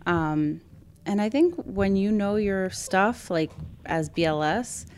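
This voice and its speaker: A woman's voice: mid-range voice, slow, a little mellow, smooth. A woman from Brooklyn, an EMS worker talking casually and hesitantly, with many fillers and restarts. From Brooklyn, New York. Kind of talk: casual podcast conversation.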